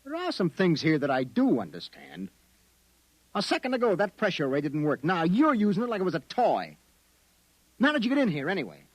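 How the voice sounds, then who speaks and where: An actor with an American accent in a 1950s radio drama, playing a blunt, rattled private investigator who talks in slang and stammers under pressure. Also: male voice, sits high, wildly swooping pitch, fairly quick, no echo, exaggerated voice, poor audio. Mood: bewildered. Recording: vintage 1950s radio recording.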